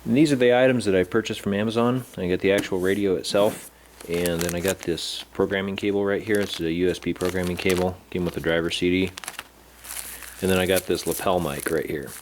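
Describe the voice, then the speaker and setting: A man's voice: sits low, steady tone, a little fast. A man giving an informal, unscripted product review and explainer in a plain-spoken, matter-of-fact way. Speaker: reviewer and amateur radio hobbyist. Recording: roomy, distant mic, clearly noisy.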